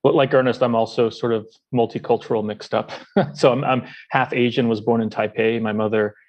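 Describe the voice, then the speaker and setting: A man's voice: mid-range voice, fairly even intonation, brisk, muffled recording. A middle-aged man giving conversational answers as an interview guest. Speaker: podcast guest, company founder.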